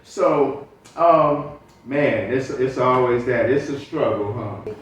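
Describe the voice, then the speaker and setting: A man's voice: medium pitch, slow pace. A man from Chicago hosting a podcast, chatting casually and affirming his guest. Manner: affirming, responsive listener. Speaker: podcast host. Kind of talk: casual interview chat. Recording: echoey room, distant mic.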